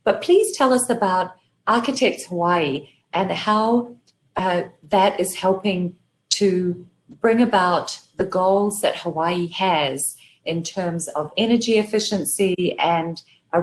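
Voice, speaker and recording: A woman's voice: fairly low pitch, slow pace, low-quality recording. A woman moderating a panel, giving a formal and complimentary introduction of a guest.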